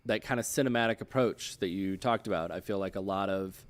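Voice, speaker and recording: A man's voice: sits low, brisk. A man hosting a casual interview, chatting in an informal way. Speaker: show host interviewing a game designer.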